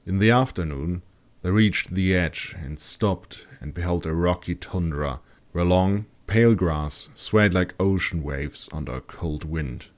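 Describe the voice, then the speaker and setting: Very deep-voiced man narrating fantasy. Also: unhurried. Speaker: author and audiobook narrator.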